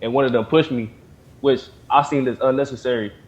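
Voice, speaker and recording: A nineteen-year-old student from Indianapolis tells his story casually, with ums and likes scattered through it. His voice sits at a middle pitch, and the recording sounds dull and muffled. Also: fairly even intonation, fairly quick. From Indianapolis, USA. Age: late teens. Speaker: college student. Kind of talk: interview testimony.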